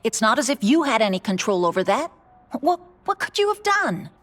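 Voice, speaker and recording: An actress playing a caring, gently firm middle-aged widowed aunt in a video game, speaking earnestly and reassuringly. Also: female voice, fairly high pitch, sing-song, brisk.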